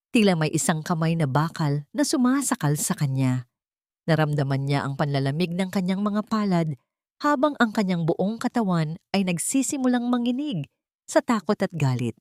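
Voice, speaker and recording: A woman's voice: lowish voice, darkish tone, dry recording, studio quality. A woman reading scripted dramatic narration in a solemn, melodramatic storytelling manner.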